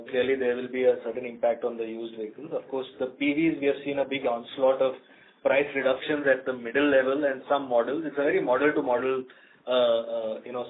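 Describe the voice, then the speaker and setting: A man with an Indian accent answering analysts as a company executive, speaking off the cuff in a halting way and repeating words. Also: medium pitch, a little hiss or hum. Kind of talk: unscripted earnings call answers. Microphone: telephone conference call. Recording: narrow, tinny phone conference line.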